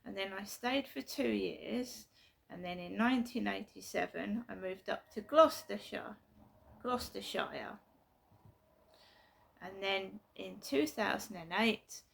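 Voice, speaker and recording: Gravelly middle-aged woman. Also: mid-range voice. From South London, England. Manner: repetitive, emphatic, earnest storytelling. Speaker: vlogger. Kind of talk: casual personal vlog. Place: her flat at night.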